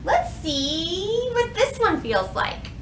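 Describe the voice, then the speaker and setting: A woman in her thirties presenting a children's storytime, with expressive, carefully phrased delivery meant for small children. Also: very high-pitched, slow pace, roomy sound, a little hiss or hum. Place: indoor library room.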